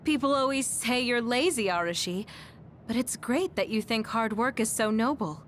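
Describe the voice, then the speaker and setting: A woman playing a kind, composed young teammate in an English game dub, speaking gently and reassuringly. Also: fairly high pitch, normal speed, a little hiss or hum, close to an everyday voice. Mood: teasing.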